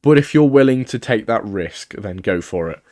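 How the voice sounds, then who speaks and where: A young man with a croaky voice from a cold, giving casual, opinionated gaming commentary. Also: fairly low pitch, animated, moderate pace, a little mellow, no room sound, studio quality. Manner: chatty, opinionated, thinking aloud. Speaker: gaming video creator. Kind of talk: casual tier-list commentary.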